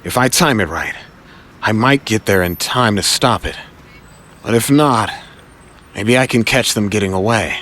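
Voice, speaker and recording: A man voicing a young, quippy, quick-thinking male superhero who talks to himself in an audio drama. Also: on the low side, a bit bright, a little hiss or hum. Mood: thoughtful.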